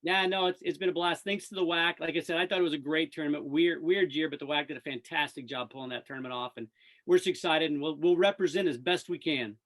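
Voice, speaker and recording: A man's voice: on the high side, quick pace, fairly bright tone. An American man, a radio play-by-play announcer, chatting casually and upbeat as a guest in a sports interview.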